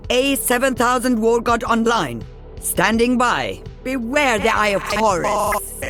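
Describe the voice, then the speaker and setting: A woman's voice: on the high side, lively intonation, a little slow. A woman playing a glitchy video game war machine copy of an old female sniper, speaking in a confused way. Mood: formal, ready. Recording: music behind the voice.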